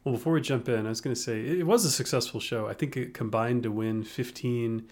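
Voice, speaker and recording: A man's voice: mid-range voice, a little fast, a little mellow. A man co-hosting a casual podcast, easygoing and dry. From United States. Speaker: podcast co-host. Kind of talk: casual chat.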